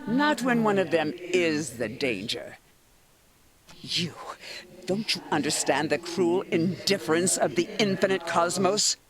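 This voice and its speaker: A man's voice: high voice, expressive, a little slow, crowd noise. A man voicing an ancient, haughty eldritch horror, a pompous and menacing villain in a video game. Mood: grandiose menace. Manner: pompous, theatrical, taunting. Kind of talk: dramatic video game voice acting.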